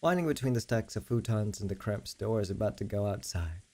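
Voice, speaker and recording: A woman streaming a visual novel, reading it aloud and reacting with blunt, sweary, expressive commentary. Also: extremely low pitch, brisk. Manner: expressive, sweary, exasperated outbursts. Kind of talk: live game read-aloud with blunt commentary. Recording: music behind the voice.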